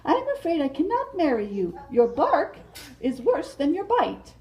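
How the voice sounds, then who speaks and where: A woman voicing a prim young lady being courted by animal suitors, high and fluttery. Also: huge pitch swings, unhurried, muffled recording, full character voice. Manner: high, fluttery, politely refusing.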